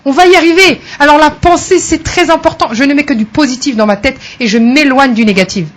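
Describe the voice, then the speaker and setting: A woman's voice: sits high, fairly quick. A woman who works as a coach, speaking as a guest on a radio talk show in an emphatic, motivational way. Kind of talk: radio interview.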